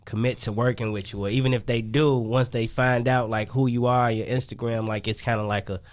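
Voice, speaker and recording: A man's voice: medium pitch, fairly even intonation, a little fast. A man with a Chicago accent chatting casually as an interviewed music artist, using slang and frequent tag phrases. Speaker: recording artist being interviewed.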